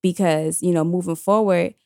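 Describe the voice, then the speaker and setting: A woman's voice: fairly low pitch, steady tone, normal speed, creaky, a little mellow, no room sound, studio quality. A woman, telling a personal story bluntly and emphatically in slangy casual chat. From Queens, New York.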